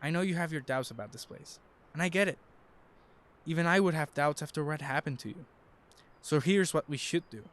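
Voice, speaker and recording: A man's voice: a little high, brisk, pauses often, a bit bright, natural voice. A man playing a reassuring, hopeful young husband in an audio drama, speaking earnestly.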